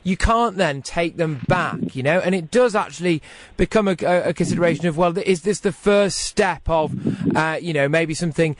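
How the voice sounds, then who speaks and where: A man's voice: a little high, normal speed. A British man hosting a late-night talk radio phone-in, speaking emphatically and posing probing questions. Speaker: radio host.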